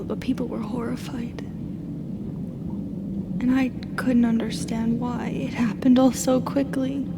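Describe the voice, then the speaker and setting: High, slow-spoken, lonely drider played by a woman. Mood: shaken, hurt. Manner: hesitant, halting, emotional delivery. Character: lonely, sorrowful female drider raised by humans. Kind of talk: audio roleplay monologue. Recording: clearly noisy background.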